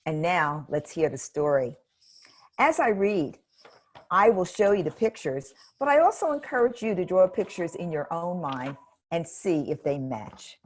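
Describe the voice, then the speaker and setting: A woman reading a children's picture book aloud in a gentle, expressive way. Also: fairly low pitch, a little slow, slight background noise. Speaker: storybook reader.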